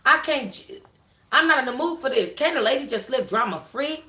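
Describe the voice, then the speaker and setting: A woman's voice: sits high, lively intonation, normal speed, pushed and bright, echoey room. A woman narrating a first-person story for a book club in a dramatic, sassy, slangy manner.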